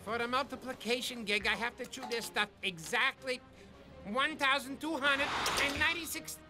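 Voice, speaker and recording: A woman plays a tiny talking cartoon scorpion with a cheeky, bossy streak. The voice is high and brash, a born wisecracker. Loud music plays behind. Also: steady tone, moderate pace, natural voice. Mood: determined, brash.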